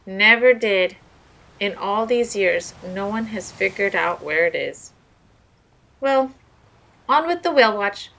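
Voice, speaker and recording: A woman's voice: mid-range voice, lively intonation, takes it slowly, vocal fry, pauses often, a bit bright, slight background noise, voice pushed up, full character voice, rough recording. A woman voicing a hearty, proud male sea captain in a storybook, explaining things with emphasis.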